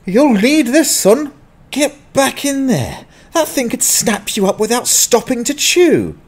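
A man voicing a brash, wry sidekick in a fantasy audiobook, speaking in an animated, urgent way. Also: very high voice, unhurried, slightly rough, full character voice. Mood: wry urging. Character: brash, cheeky male sidekick urging on a young adventurer. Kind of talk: dramatized fantasy audiobook acting.

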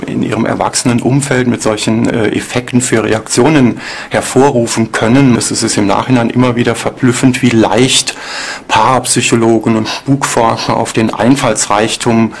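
A man's voice: medium pitch, fairly even intonation, moderate pace, creaky. A man giving explanations in an interview as an expert investigator.

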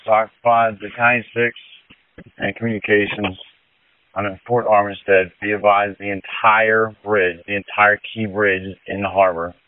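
Low-voiced male rescuer on tinny radio. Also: level tone. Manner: deliberate, repeats key warnings. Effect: two-way radio. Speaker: fire rescue responder on radio. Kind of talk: emergency radio dispatch traffic. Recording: narrow tinny two-way radio transmission.

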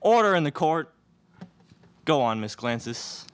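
A man's voice: on the high side, sing-song, a little slow, fairly bright tone, slight background noise. A young man playing an officious Wild West judge in a student radio play, declaiming into a stage microphone. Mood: commanding. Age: young adult. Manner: declamatory, calling for order. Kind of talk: student radio theatre acting. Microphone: stage microphone on stand.

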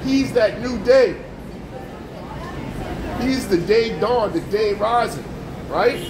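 A man preaching on the street, forceful and repetitive, firing rhetorical questions at passers-by. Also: high-pitched, takes it slowly, reverberant, crowd noise, poor audio. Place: outdoors on a street with passing traffic.

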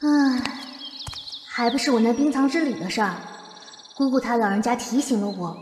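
A woman's voice: a little high, normal speed, darkish tone, a little hiss or hum, natural voice. An actress playing an earnest, girlish young fox-spirit queen in an audio drama. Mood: earnest, fretful. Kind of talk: Chinese audio drama acting. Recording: echoey reverb, faint birdsong ambience.

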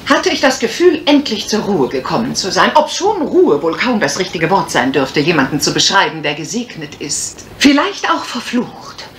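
A woman's voice: lowish voice, sing-song, moderate pace, few pauses, fairly bright tone, slight background noise. An actress playing a playful, mischievous lady sleuth in a German radio crime drama, arch and theatrical. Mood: mystical, theatrical.

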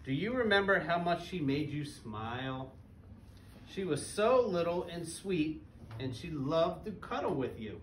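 A man's voice: fairly high pitch, sing-song, unhurried. A man in his thirties reads a picture book aloud to young children, using simple phrasing and putting questions to them as he goes. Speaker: parent guest reader at a school. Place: small elementary school classroom. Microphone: camera a few metres away, speaking through face mask. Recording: roomy, distant mic with background noise.

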